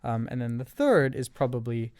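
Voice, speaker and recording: A man from Canada speaking as a podcast guest, with a smooth, clear voice in a dry, close recording. He is measured and breaks his explanations into ordered points. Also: mid-range voice, sing-song, a little mellow, no room sound. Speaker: guest, revenue operations leader. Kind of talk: interview answers.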